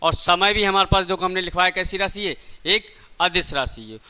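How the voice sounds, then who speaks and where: A male physics teacher gives an exam-prep lesson in a very bright, crisp voice. He explains step by step with plenty of repetition. He poses short questions and then answers them himself. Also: sits high, normal speed.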